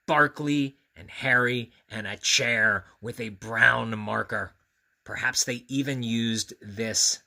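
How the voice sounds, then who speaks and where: A bright, forceful male voice reading a children's story aloud. He is expressive and earnest, with animated pitch, and talks straight to his young audience. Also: vocal fry. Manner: expressive, earnest, speaking directly to young listeners.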